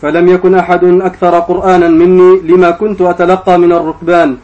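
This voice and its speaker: A man reading classical religious text aloud as a student reciter, formal, measured and drawn out. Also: high voice, level tone, a little mellow. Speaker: student reciter of hadith texts. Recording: dull, muffled sound.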